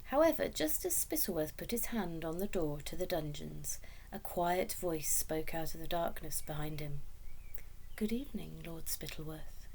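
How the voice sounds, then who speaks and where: Lower-pitched woman reading a story. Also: animated, moderate pace, few pauses, darkish tone, slight background noise, rough recording. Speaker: story reader. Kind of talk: expressive scripted storybook narration.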